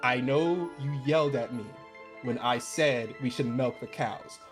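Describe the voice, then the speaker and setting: A podcast host playing an earnest elf who pleads to be heard out, insistent and repeating, building up to a pitch. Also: unhurried, over music, natural voice. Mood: pleading, insistent.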